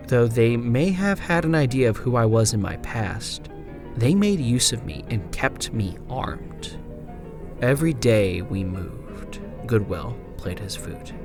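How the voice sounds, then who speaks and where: A man performing a frontier gunslinger's first-person horror narration, in a hushed, deliberate delivery with drawn-out phrases and swelling emphasis. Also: fairly low pitch. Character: woman frontier gunslinger recounting her haunted, lost memories. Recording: music bed under the narration. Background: music.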